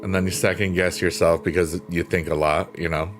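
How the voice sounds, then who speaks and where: A middle-aged man with a deep bass voice, hosting a livestream and chatting casually with viewers in a laid-back, openly reflective way. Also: little pitch movement, brisk. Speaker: livestream host. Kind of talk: casual chat with live chat readers. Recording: music playing under voice. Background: piano music.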